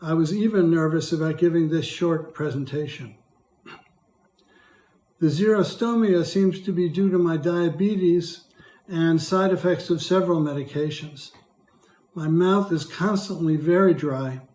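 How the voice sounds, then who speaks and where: Retired older man, hoarse and dry-voiced, reads his testimony carefully; roomy echo. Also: fairly high pitch, a little slow, warm tone. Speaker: patient speaker. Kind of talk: prepared testimony read aloud.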